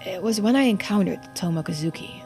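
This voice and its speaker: A woman voicing an earnest, subdued young diver in a video game, speaking seriously and with quiet determination. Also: medium pitch, animated, brisk, faint music behind, lightly acted. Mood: earnest, confiding.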